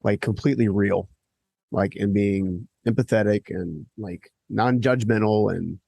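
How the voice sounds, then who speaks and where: Gravelly, husky male podcast host. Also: fairly low pitch, a little slow, dark tone. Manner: earnest, talks in long lists with many fillers. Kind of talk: conversational interview. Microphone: studio microphone.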